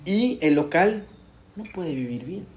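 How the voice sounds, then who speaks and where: Mellow-voiced Mexican man reflecting. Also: high voice, lively intonation, unhurried, slight background noise. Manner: reflective, explaining. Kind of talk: casual chat.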